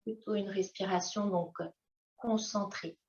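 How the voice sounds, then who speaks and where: A woman yoga teacher guiding breathing exercises in a gentle manner, with long pauses and drawn-out phrases. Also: mid-range voice, fairly even intonation. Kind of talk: guided yoga breathing instruction. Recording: echoey room, slightly dull sound.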